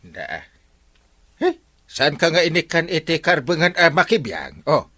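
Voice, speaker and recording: A comic Ilocano village captain for a radio drama, swooping all over in pitch with a husky edge. He blusters, stammers and flirts, in a forceful, bright delivery. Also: sing-song, unhurried, dry recording, full character voice. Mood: defiant, blustering. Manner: exclaiming, stammering, theatrical.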